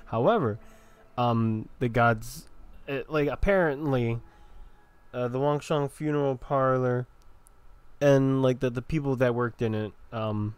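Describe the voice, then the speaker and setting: A man giving casual, halting commentary as he plays through a video game. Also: mid-range voice, smooth, no echo. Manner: halting, hesitant. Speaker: gameplay streamer.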